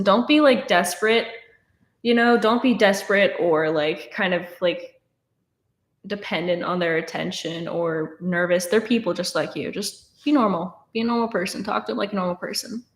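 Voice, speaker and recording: A woman's voice: lowish voice, normal speed. Nineteen-year-old woman chatting in echoey room. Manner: casual, chatty, many fillers and restarts. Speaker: streamer answering chat questions. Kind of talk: casual live stream Q&A. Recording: roomy echo.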